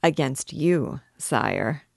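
Spiteful court barber, voiced by a woman, cold and deliberate, dry close sound. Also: very deep voice, lively intonation, gravelly, a little mellow, no echo, exaggerated voice. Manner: cold, measured. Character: spiteful male royal barber, cold and sly.

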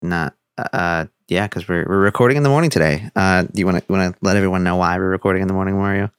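Young male podcast host, very low, dry sound, easygoing, halting. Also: clean tone, dry recording. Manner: laid-back, stumbling restarts. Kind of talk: casual chat. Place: home, on a video call.

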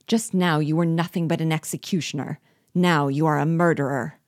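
Woman reading as a stern young officer, low voice, scolding tone, dry close sound. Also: steady tone, normal speed, breathy edge, no room sound. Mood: reproachful. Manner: stern, reproachful. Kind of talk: audiobook reading.

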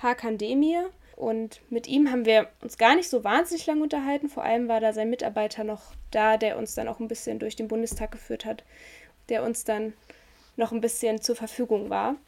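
A German woman in a reflective conversation, talking in a thoughtful, measured way and searching for words as she goes. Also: on the high side, vocal fry, a little mellow.

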